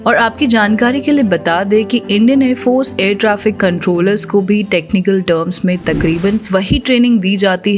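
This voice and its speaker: A woman with an Indian accent presenting scripted, formal explanatory narration with many English terms mixed in. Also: medium pitch. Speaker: presenter of an exam-preparation channel. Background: background music.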